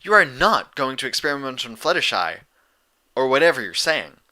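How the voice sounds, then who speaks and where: A man's voice: mid-range voice, big pitch swings, normal speed, sharp tone, pitched above the performer's own voice. A man voicing a brash, impatient tomboy pegasus mare, blunt and exasperated, in a storybook reading. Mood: indignant.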